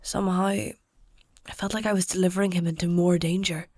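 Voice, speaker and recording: An actress playing a practical, stubborn travelling healer in a fantasy audio drama, with a dry, matter-of-fact, conversational way of talking. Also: female voice, on the low side, level tone, a little fast, darkish tone, no room sound, lightly acted. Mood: reflective, uneasy. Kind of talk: dark fantasy audio drama dialogue and narration.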